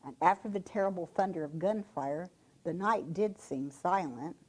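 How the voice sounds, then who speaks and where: A woman reading a reflective first-person story aloud in a solemn, measured manner. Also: lowish voice, darkish tone, low-quality recording. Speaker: reader at a reader's theater.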